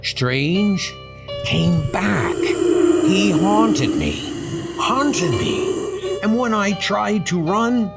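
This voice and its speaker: A man's voice: sits high, expressive, extremely slow, vocal fry, pitched above the performer's own voice, exaggerated voice. Man acting an agitated, haunted gangster boss. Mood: haunted, frantic. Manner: blunt, streetwise, increasingly frantic. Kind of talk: amateur comic dub acting. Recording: music behind the voice.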